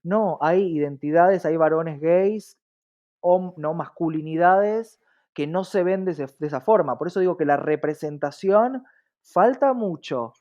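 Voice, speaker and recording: A fairly high-voiced man with a Rioplatense Argentine accent explains his views as an interview guest. He is earnest and reflective, building points through repetition and rhetorical questions. The recording is a little dull and lo-fi. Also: unhurried, darkish tone. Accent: Rioplatense Argentine Spanish. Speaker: interview guest, diversity specialist. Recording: slightly dull, lo-fi sound.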